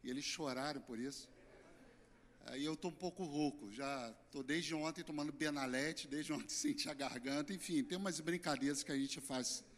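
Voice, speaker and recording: Hoarse middle-aged Brazilian pastor, fairly high voice, earnest sermon swinging loud to soft. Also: male voice, moderate pace. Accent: Brazilian Portuguese. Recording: church hall reverb.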